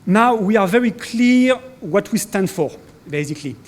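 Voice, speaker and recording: A man in his forties speaks in English with a French accent, presenting at a conference. His voice sits fairly high for a man. He talks haltingly, with frequent fillers and restarts. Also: expressive. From France. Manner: hesitant, many fillers and restarts. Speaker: corporate speaker. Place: conference hall.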